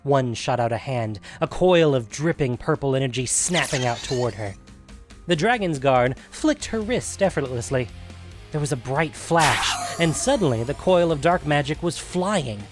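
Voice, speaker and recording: A man's voice: mid-range voice, lively intonation, moderate pace. Male narrator, mid-range voice, dramatic fantasy storytelling with faint music underneath.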